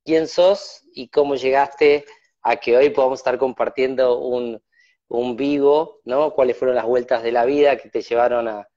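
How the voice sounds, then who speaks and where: A man hosting a live video-call interview, talking in a relaxed, reflective, rambling way. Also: mid-range voice, moderate pace. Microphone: phone on a video call. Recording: dull lo-fi video-call audio.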